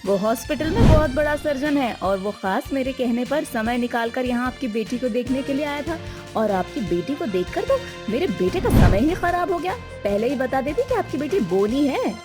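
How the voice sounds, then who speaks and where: A woman plays a haughty middle-class mother in a cartoon moral tale. She talks down to people with open scorn in a high voice, with music behind her. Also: animated, moderate pace. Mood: scornful. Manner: disdainful, condescending. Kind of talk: animated moral-story dialogue.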